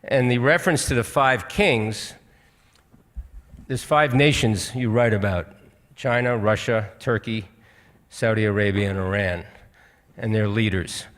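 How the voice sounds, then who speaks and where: An older American man moderates a live stage interview, speaking earnestly in a halting, rambling way. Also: fairly low pitch, fairly bright tone. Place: auditorium stage. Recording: hall reverb on a stage.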